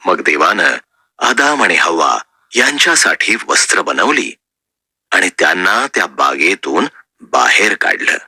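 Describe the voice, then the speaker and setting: Slow, bright-voiced male storyteller. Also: low voice, sing-song, slightly rough, dry recording. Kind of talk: scripted Bible story narration.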